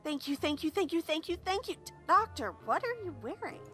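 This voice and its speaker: A woman's voice: extremely high pitch, fairly quick, faint music behind, heavily acted. A woman playing an earnest, easily flustered young female pony in a fan audio drama. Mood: relieved, bemused. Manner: earnest, expressive.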